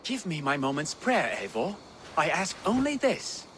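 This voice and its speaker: Pious medieval priest, animated intonation. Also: male voice, a little high, measured pace, a little hiss or hum. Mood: impatient. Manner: solemn, preachy, dryly sardonic. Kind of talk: dramatic medieval video game dialogue.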